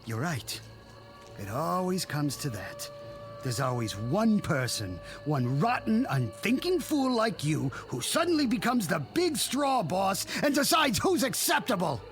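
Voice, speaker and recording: A man's voice: sits high, very animated, a little slow, fairly bright tone, soft background music, full character voice. A male actor in a radio drama playing a desperate young father who pleads urgently and stammers for his family. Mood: bitter.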